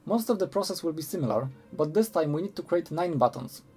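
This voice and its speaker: Male tutorial narrator, slightly high voice, very mellow tone, measured scripted delivery over background music. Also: expressive.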